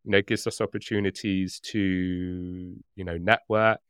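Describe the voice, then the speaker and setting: A man chats casually as a podcast co-host. His voice is smooth and clear, recorded dry. He rambles a little hesitantly, with a joking streak. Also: sits low, flat delivery, a little slow, a bit bright, no room sound. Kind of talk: casual chat.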